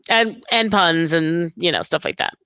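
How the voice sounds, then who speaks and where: A woman's voice: lowish voice, a little slow, sharp tone, smooth, dry recording, highs cut off. Chatty, enthusiastic woman host. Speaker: livestream host. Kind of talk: casual livestream chat.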